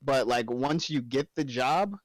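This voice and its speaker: A young man from Oklahoma with an Oklahoma accent, chatting casually as a stream guest, joking and musing in a relaxed way. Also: medium pitch, no echo. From Oklahoma, USA. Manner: laid-back, joking, drawn-out musing. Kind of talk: casual chat.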